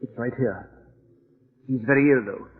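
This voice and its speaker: An actor playing a subdued, obedient household servant with a husky voice in old-time radio drama. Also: male voice, medium pitch, normal speed, natural voice. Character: deferential male household servant. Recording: dull old radio recording.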